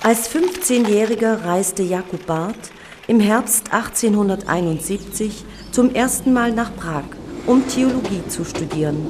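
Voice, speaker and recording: Slow, measured woman narrator. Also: mid-range voice, background noise. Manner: measured, solemn reading. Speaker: documentary narrator. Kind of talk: scripted documentary narration.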